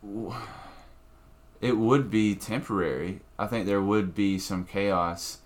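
Low-voiced rambling podcast comedian man. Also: slow pace. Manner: rambling, profane, restarts often. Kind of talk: casual podcast chat.

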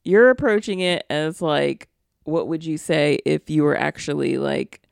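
A man co-hosting a casual chat, talking slowly with a drawl. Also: on the high side, gravelly, clear, resonant tone, dry recording, clean studio sound. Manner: slow, drawling. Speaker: podcast co-host.

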